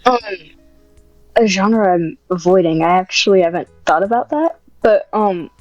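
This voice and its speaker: A woman's voice: on the low side, moderate pace, a little mellow, no echo, dull audio, soft background music. A woman podcast guest chatting casually and hesitantly, thinking aloud as she answers. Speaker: podcast guest and script writer. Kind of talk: casual interview chat.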